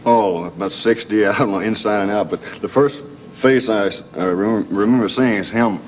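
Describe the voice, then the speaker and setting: A man's voice: lowish voice, moderate pace, slight background noise, low-quality recording. A man with a Southern American accent, a talk show guest chatting in a dry, understated way. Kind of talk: casual talk show chat.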